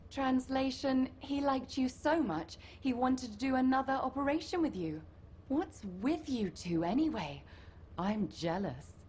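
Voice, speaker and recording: A woman's voice: sits high, animated, unhurried, darkish tone, slight background noise. A woman playing a breezy, teasing recon operative in a video game, chatting informally as she briefs a team. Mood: upbeat, teasing. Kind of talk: video game mission dialogue acting.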